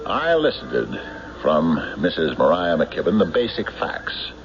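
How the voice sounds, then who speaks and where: An actor playing a stolid, skeptical sheriff in an old radio drama, speaking in a formal, deliberate, official manner. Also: male voice, low voice, wildly swooping pitch, exaggerated voice. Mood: formal reporting. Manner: official, deliberate, hesitant questioning. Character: stolid, methodical male county sheriff, formal and doggedly skeptical. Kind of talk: old-time radio drama acting with police-report narration. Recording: noisy, muffled vintage radio recording.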